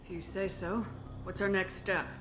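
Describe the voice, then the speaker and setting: A woman's voice: medium pitch, level tone, moderate pace, music in the background, close to an everyday voice. A woman playing a resolute, principled military commander in a video game, speaking in a firm, no-nonsense, questioning manner. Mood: firm, wary. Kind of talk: video game cutscene acting.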